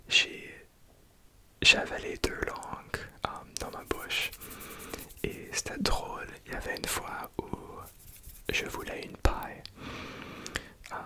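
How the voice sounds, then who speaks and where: Young Franco-Ontarian man, unhurried ASMR whisper, soft and gentle throughout. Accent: Franco-Ontarian French. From Ontario, Canada. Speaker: ASMR creator.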